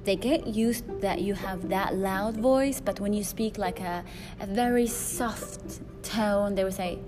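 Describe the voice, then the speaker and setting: Loud-voiced woman podcaster over music. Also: medium pitch, takes it slowly. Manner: rambling, frequent fillers and restarts. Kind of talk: solo podcast monologue. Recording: music playing behind.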